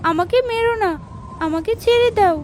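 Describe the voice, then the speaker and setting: Woman as a high-voiced frightened young bride. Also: moderate pace, darkish tone, slight background noise. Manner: pleading, urgent. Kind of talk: children's fairy tale cartoon acting. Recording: music behind the voice.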